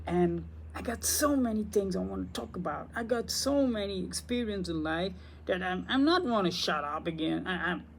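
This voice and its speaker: A man from Belgium, a native Dutch speaker with a Belgian Dutch accent, chatting to camera as a spiritual teacher in an excitable, rambling way. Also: high-pitched, normal speed, creaky, a little hiss or hum. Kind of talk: casual talk to camera.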